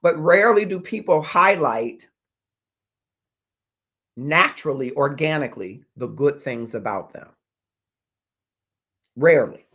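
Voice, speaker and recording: A man in his fifties hosting a motivational livestream as a life coach, speaking in deliberate, emphatic phrases with pauses. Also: on the high side, animated, a bit bright. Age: 50s. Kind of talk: motivational livestream talk to viewers.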